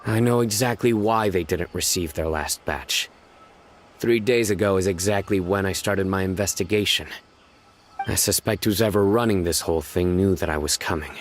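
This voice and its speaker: Guy playing grave game lawman, super low voice, measured n commanding, bit of noise. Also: lightly acted. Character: stern, deep-voiced male investigator and judge. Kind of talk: video game voice acting.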